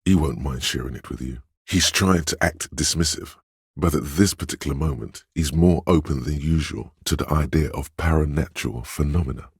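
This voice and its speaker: Smooth-talking inner voice of persuasion for a game: extremely low, very husky, insinuating advisor. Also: male voice, moderate pace, no echo, studio quality, full character voice. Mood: measured, observing. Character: suave, coaxing inner voice of persuasion, male. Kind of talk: video game voice acting, advisory narration.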